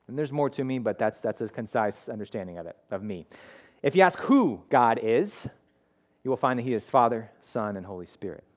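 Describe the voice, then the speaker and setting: A man in his thirties preaching a sermon in a school hall, talking in a conversational, animated way with many restarts, on a headset mic. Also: medium pitch, a little fast. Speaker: pastor. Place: school hall with curtained stage.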